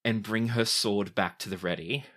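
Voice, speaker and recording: A game master giving measured, vivid scene descriptions mixed with casual rulings for a tabletop game. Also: female voice, extremely low pitch, fairly even intonation, fairly bright tone.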